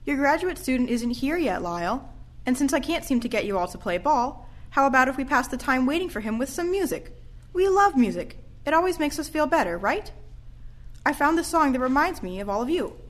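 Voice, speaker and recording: A woman's voice: high voice, expressive, a little fast. A woman playing a cheerful, encouraging care home activity leader in an audio play. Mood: coaxing. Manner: encouraging, gentle persuasion.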